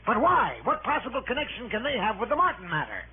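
A man's voice: very high voice, huge pitch swings, quick pace, narrow, tinny audio, exaggerated voice. A man playing a short-tempered, fussy, penny-pinching insurance official in an old-time radio drama, sputtering and querulous. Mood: querulous, suspicious. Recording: noisy, band-limited old radio broadcast.

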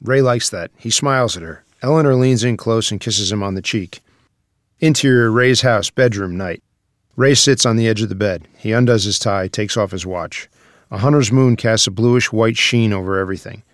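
Low-pitched man narrating a script. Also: moderate pace, no room sound, studio quality. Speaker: narrator reading stage directions.

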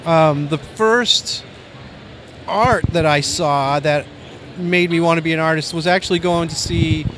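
An American man in his sixties from Maine with a soft voice, reminiscing casually and a bit ramblingly in an interview. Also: fairly high pitch, fairly even intonation, measured pace. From Maine, United States. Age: 60s. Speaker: comic book artist, interview guest. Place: busy convention floor. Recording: faint convention floor noise.

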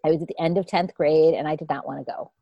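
A woman in her fifties from India tells her life story as an interview guest. Her voice sits a little low, and she winds through her memories with many restarts. The sound is close and dry. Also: steady tone, quick pace, mellow, dry recording, dull audio. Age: 50s. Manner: reflective, rambling, with frequent restarts.

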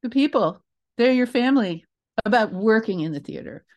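A middle-aged woman answering interview questions as a theater director, in an expressive, emphatic and reflective way. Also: on the high side, normal speed. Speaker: guest, theater director and creator.

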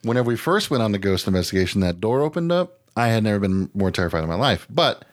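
A man's voice: fairly low pitch, very animated, fast. A man hosting a podcast with casual comedic banter, rambling and swearing freely as he goes. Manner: rambling, profane, easily sidetracked. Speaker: podcast host.

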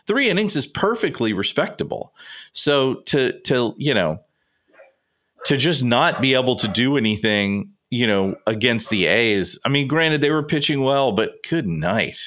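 A man's voice: mid-range voice, a bit bright. A man hosting a sports podcast solo, rambling and chatty while slightly congested and sniffling. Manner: chatty, rambling, self-interrupting. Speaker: podcast host and sports writer. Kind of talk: casual solo sports talk.

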